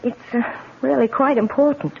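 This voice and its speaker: An actress playing a fretful, genteel young wife in a 1970s radio drama, speaking in dramatic hesitations. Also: female voice, high voice, fairly even intonation, moderate pace, gravelly. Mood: anxious, hesitant. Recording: thin, phone-like, hissy 1970s radio recording.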